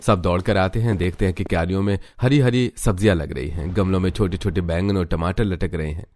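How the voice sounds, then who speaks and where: A man's voice: deep voice, fairly quick, darkish tone, dry recording. A man narrating a scripted cartoon story as its storyteller.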